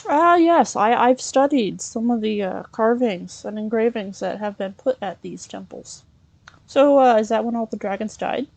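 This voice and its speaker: Female let's play gamer: higher voice, improvised roleplay, chatty, hesitant, thinking aloud. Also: animated, moderate pace, vocal fry, darkish tone, no room sound. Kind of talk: in-character improvised gameplay roleplay and commentary.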